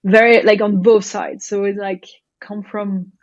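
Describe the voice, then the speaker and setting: A woman's voice: medium pitch, level tone, measured pace, no room sound, lo-fi sound. Thoughtful woman guest from Belgium. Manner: thoughtful, hesitant, many fillers and restarts. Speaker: guest, startup founder. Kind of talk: casual interview chat.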